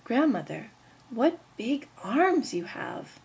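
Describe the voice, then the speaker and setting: An innocent, trusting little village girl in a read-aloud fairy tale, with a woman putting on the voice. She speaks high and slow, earnest and wide-eyed, with a slightly gravelly edge. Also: sing-song, a little mellow, full character voice, rough recording. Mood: wondering.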